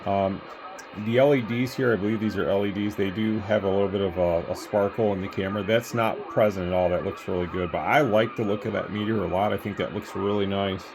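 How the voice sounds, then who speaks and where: A man's voice: lowish voice, steady tone, fairly quick, noisy background. A man from the United States giving a casual, rambling hands-on product demonstration as an equipment reviewer, recorded at home on a phone camera. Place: home room with radio test equipment.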